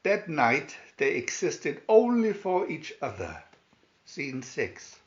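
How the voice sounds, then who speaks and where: A man's voice: a little high, sing-song, slow pace, highs cut off. A man narrating a fairy tale aloud from a storybook in a dramatic style.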